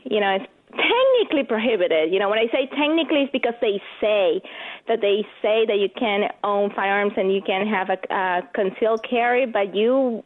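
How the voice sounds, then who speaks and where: A woman's voice: mid-range voice, animated, normal speed. A woman from Venezuela with a Venezuelan Spanish accent, a radio interview guest talking earnestly and often saying "you know". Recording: phone-line guest audio.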